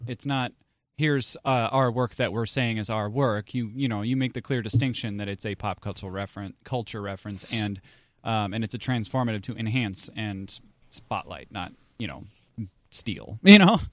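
A man's voice: fairly low pitch, moderate pace, no room sound. A man hosting a casual interview podcast, speaking in a blunt, informal way with some swearing. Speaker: podcast host.

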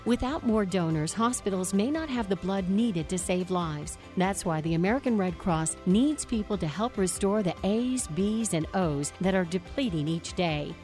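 A woman's voice: mid-range voice, animated, normal speed, few pauses, darkish tone. A woman reading a scripted public service appeal for radio as an announcer. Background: faint music.

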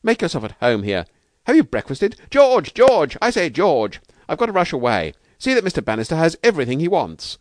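A man voicing an eager, good-natured young gentleman in a storybook, breezy and effusive. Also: sits low, big pitch swings, measured pace, few pauses, dry recording, clean studio sound. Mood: excited, hurried.

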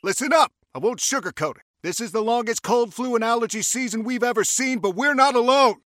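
An actor playing a dad who gives an overblown, rousing coach-style pep talk in a comic commercial. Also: male voice, extremely high pitch, a little fast, soft background music. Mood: rousing, intense. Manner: shouting, rousing, mock-dramatic.

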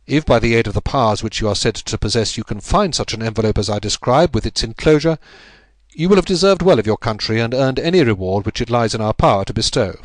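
Man doing a stern old prime minister, slow and heavy, serious tone, dry close sound. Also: mid-range voice, lively intonation, dry recording, close to an everyday voice. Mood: grave. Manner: grave, weighty, measured statesman's delivery.

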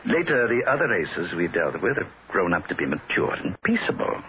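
Genteel alien visitor, low voice, precise and condescending, 1960s radio drama, muffled hissy recording. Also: male voice, sing-song, normal speed. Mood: politely patient. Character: polite, mild alien emissary bent on pruning humanity.

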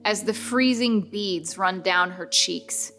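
A woman narrates a horror radio story in a measured, suspenseful voice at middle pitch. Music plays clearly behind her. She holds an even, steady pace.

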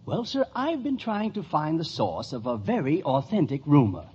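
An actor playing a fussy, earnest, formal society official in a 1950s radio sitcom. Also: male voice, fairly high pitch, big pitch swings, moderate pace, a little mellow, slight background noise. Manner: formal, pompous. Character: fussy, earnest, self-important male historical society head. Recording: muffled old radio broadcast recording.

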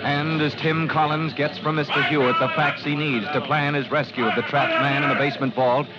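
Old time radio announcer guy, dramatic n grave, highish, dull recording, music blaring. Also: fairly even intonation, brisk. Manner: grave, theatrical, emphatic. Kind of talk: 1940s dramatic radio narration.

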